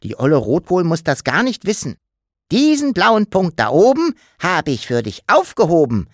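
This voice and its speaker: A man's voice: fairly high pitch, wildly swooping pitch, moderate pace, dry recording, clean studio sound, voice pushed up. A man voicing a playful, mischievous childlike creature in an audiobook, with a bouncy, teasing way of talking. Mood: cheeky. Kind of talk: audiobook character voice.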